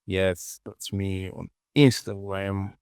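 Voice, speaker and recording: A man with a fairly low, creaky voice walks through a software tutorial at a slow pace. He is informal and repeats words as he talks to himself. The recording is close and dry. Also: little pitch movement, no echo. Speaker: 3D modeling tutorial presenter.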